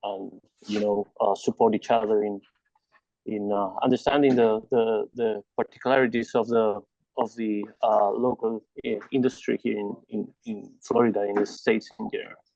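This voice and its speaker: A man from Chile introducing himself on a video call, halting with many restarts and fillers. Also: on the low side, level tone, gravelly, a little mellow, no echo. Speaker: lighting design firm partner. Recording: dull lo-fi video call audio.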